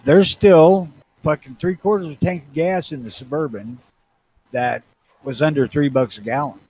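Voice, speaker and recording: A man's voice: fairly high pitch, normal speed, dark tone, breathy edge, poor audio. A blunt, opinionated man co-hosting a podcast, swearing freely in casual chat. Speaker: podcast co-host.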